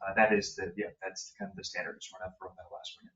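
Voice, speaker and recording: A man advising a zoning board on its legal standard, explaining in a halting way with many fillers. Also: sits low, flat delivery, a bit bright. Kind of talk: explaining legal procedure at a meeting. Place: village hall meeting room. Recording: echoey room, distant muffled mic.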